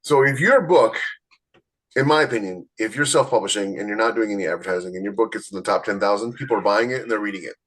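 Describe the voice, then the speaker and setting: A man's voice: on the low side, quick pace, fairly bright tone, rough recording. A man hosting a podcast, explaining things from experience in a casual, conversational way and talking straight to the listeners. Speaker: podcast host, self-published author.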